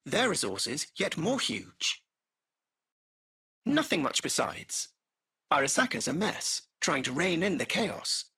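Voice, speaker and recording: Very animated, raspy British AI chauffeur. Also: male voice, a little high, slow, pauses often, heavily acted. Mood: gossipy, brisk. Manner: polished, effusive, butler-like chattiness. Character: urbane, chatty male AI taxi driver, butler-like and cheeky. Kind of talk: video game voice acting.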